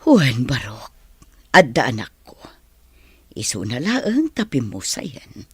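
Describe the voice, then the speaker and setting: A woman's voice: extremely low pitch, huge pitch swings, slow, husky, no echo, exaggerated voice. A woman playing a kindly, ailing madam who lost her son, motherly and considerate, in a radio drama. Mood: wistful. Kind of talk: Ilocano radio drama acting.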